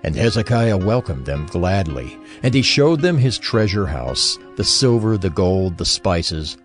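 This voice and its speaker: Male narrator for dramatized scripture; low, animated voice over faint background music.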